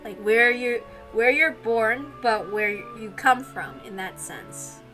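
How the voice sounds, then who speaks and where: A woman's voice: a little high, slow pace, sharp tone, faint music behind. A woman reading a visual novel aloud as narrator, breaking into casual, chatty asides.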